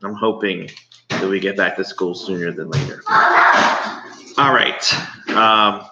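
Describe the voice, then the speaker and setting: A middle-aged American man, a schoolteacher giving an informal, halting read-aloud lesson to his pupils. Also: sits low. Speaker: elementary school teacher. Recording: roomy, dull, faintly noisy home recording.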